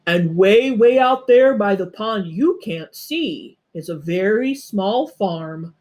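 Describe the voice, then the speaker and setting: A woman voicing a big boastful male bear in a storybook, bragging slowly and emphatically with a bit of growl. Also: medium pitch, animated, darkish tone. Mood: proud, drawn-out.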